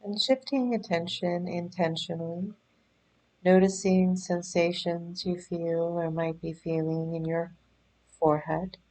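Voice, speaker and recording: A woman leading a guided meditation in a gentle, soothing, unhurried manner, leaving pauses between phrases. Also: lowish voice, fairly even intonation, rough recording. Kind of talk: guided body scan meditation.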